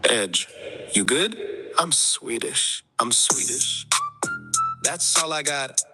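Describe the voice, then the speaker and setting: A man delivering a comic rap song with a deadpan repeated hook, half-sung. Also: mid-range voice, slow, faint music behind. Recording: rap vocal over hip hop beat.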